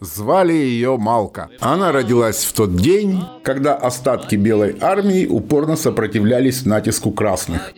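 A man's voice: medium pitch, darkish tone. A man reading scripted dramatic narration as the narrator of a radio play. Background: music.

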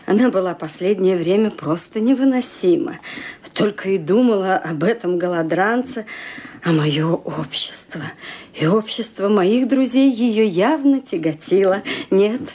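A woman's voice: mid-range voice, unhurried, warm tone, natural voice. An actress playing a stubborn, old-fashioned elderly aunt in a radio play, querulous and decided in her talk. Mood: indignant. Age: older. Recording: radio play with faint music behind.

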